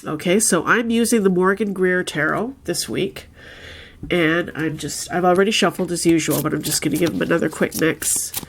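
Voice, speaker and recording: A woman's voice: lowish voice, normal speed, a little hiss or hum. A woman with a stuffed-up, nasal voice from a head cold, chatting casually through a weekly tarot reading and often checking in with "okay?". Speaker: tarot reader.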